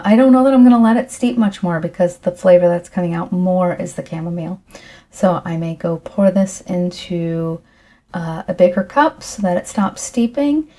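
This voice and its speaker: A middle-aged woman speaking softly during an ASMR tea tasting, her voice croaky, clearing her throat often, rambling gently with fillers. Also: mid-range voice. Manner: rambling, soft and unhurried with fillers.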